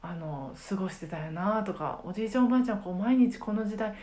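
A middle-aged woman chatting casually on a solo podcast, reflective and halting as she searches for words. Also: mid-range voice, expressive, mellow. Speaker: podcast host and karaoke teacher.

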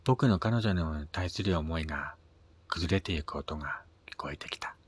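A man with a husky voice narrating a scripted first-person radio drama, his phrase endings trailing into a breathy near-whisper. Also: sits low, normal speed. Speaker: reader of own short story.

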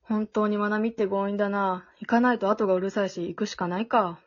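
A woman acting a blunt, exasperated but protective teenage girl in an amateur radio drama, dry and sharp-tongued. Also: mid-range voice, steady tone, brisk, lightly acted. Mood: exasperated, reluctant. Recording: dull, lo-fi audio.

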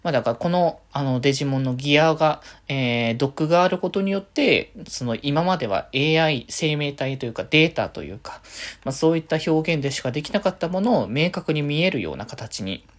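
A man's voice: on the high side, expressive, brisk. A man hosting a solo podcast, giving casual fan commentary and thinking aloud in loose, winding sentences.

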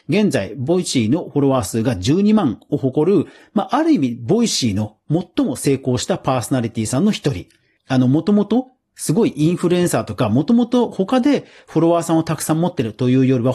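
A man's voice: a little high, dark tone, breathy edge. A man hosting a podcast, giving casual, explanatory solo commentary on news in a conversational way. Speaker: podcast host.

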